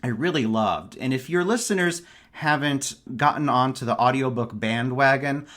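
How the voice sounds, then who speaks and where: A man's voice: mid-range voice, normal speed, fairly bright tone. A man speaking as a podcast guest in a casual book discussion, enthusiastic and emphatic in his wording.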